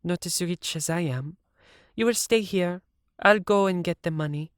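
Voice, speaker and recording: A man's voice: high-pitched, moderate pace, fairly bright tone, no room sound, studio quality, pitched above the performer's own voice. A man reading aloud and voicing a hot-tempered, blunt rich young woman. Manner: blunt, indignant, demanding.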